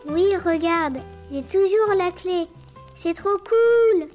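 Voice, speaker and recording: Casting: brave little cartoon boy, very high voice, light music behind. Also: slow pace, a little mellow. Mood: eager. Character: curious young boy, eager and brave. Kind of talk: cartoon voice acting.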